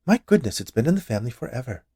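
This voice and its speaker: A man's voice: medium pitch, big pitch swings, rapid-fire, husky, dry recording, studio quality, pitched above the performer's own voice. A man voicing a cheerful, chatty large woman who runs a candy shop in a storybook tale. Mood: cheerful, proud. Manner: bright, chatty, eager.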